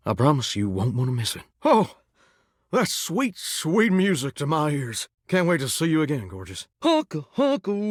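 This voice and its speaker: A man's voice: sits high, no room sound, studio quality, exaggerated voice. An actor voicing a vain, melodramatic male shower spirit who dreams of singing stardom, talking in a folksy, theatrical drawl for a video game. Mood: apologetic, eager. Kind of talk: video game character dialogue.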